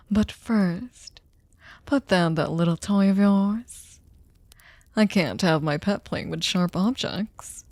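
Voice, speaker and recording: A woman playing a sadistic, seductive vampire mistress in an ASMR roleplay, commanding and condescendingly tender, breathy and often whispering. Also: fairly low pitch, a little mellow, no room sound. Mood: commanding, menacing. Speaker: ASMR voice performer. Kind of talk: ASMR roleplay, slow intimate monologue. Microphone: close studio microphone, dry.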